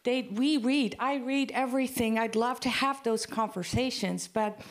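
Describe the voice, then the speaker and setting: A woman from the Netherlands in the audience, asking a heartfelt, halting question from the floor. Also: fairly high pitch. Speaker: audience member.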